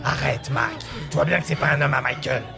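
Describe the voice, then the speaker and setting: A man playing an irritated gangster henchman with a heavily creaky voice in a French audio drama. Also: medium pitch, steady tone, brisk. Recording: music behind the voice.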